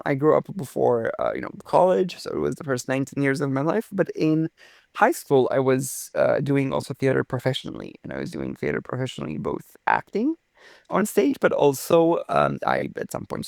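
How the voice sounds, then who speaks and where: A young man from Romania talks through his story in an interview, warm with enthusiasm and fond of theatrical turns of phrase. His voice has a noticeable gravelly fry and a mid-range pitch. The sound is close and dry. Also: no room sound. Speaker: physicist guest.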